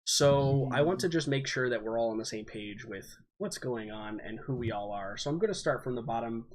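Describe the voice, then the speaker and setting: A man hosting a tabletop game over a voice call. He is friendly and a little halting, often repeating words while he finds them. His voice sits at an ordinary middle pitch. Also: lively intonation, crowd noise. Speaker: game master. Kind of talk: casual introductions on a stream.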